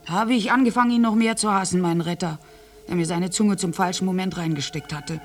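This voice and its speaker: An actress playing a bitter, war-scarred orphaned young woman in a radio play monologue, halting and intense. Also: lowish voice, fairly even intonation, lightly acted. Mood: bitter, hateful. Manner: halting, intense, dropping into breathy whispers. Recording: music behind the voice. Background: music.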